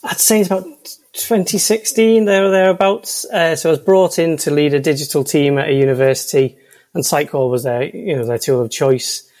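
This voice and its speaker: A man's voice: a little high, brisk, darkish tone. A man with a Liverpool accent, a guest in a casual interview, talking hesitantly with many ums and restarts. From Liverpool, England. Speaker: podcast guest, senior consultant.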